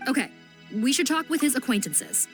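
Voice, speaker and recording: A woman's voice: sits high, sing-song, normal speed. A woman voicing a composed female investigator who leads a team, formal, decisive and protective. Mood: firm. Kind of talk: video game voice acting. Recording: game audio with faint music.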